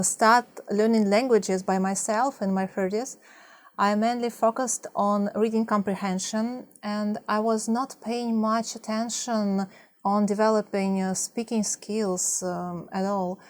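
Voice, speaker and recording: Soft-spoken Russian-accented woman vlogger. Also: mid-range voice, fairly even intonation, unhurried. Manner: soft-spoken, hesitant, many fillers. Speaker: language-learning vlogger. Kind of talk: partly scripted talk to camera.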